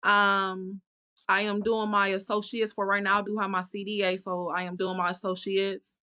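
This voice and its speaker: A woman from Ohio introducing herself to a class in a relaxed, giggly way. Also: mid-range voice, moderate pace, dry recording. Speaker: student.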